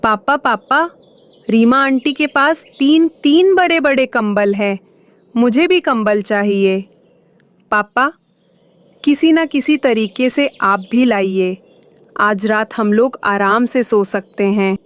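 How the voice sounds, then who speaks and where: Woman as a slow, whiny little boy crow. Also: on the high side, no room sound. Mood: envious begging. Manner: childlike, wheedling. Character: young boy crow, whiny, curious, envious. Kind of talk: Hindi moral cartoon voice acting.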